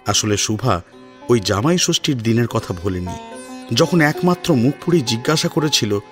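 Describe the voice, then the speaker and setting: A man reading scripted story narration in an expressive, dramatic storytelling style. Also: medium pitch. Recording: soft music under narration. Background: sitar music.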